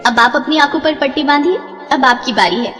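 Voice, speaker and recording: A woman's voice: sits high, fairly quick, fairly bright tone, big-room sound, soft background music. A woman voicing a playful, teasing goddess and devoted wife in a devotional story, speaking sweetly and formally. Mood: teasing, triumphant. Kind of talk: animated devotional story dialogue.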